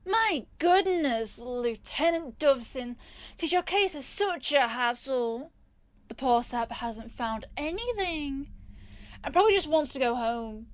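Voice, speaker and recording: A woman's voice: high-pitched, lively intonation, measured pace, no echo. A woman playing a possessive, smug rich young captor in an ASMR roleplay, teasing and condescending with a sweet menace. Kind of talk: ASMR roleplay audio drama.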